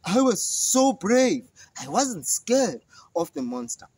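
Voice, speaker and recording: A man voicing a big, brave older male goat who calmly resolves to fight the monster. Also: very high voice, slightly rough, dry recording, voice pushed up, exaggerated voice. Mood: determined. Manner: slow, determined.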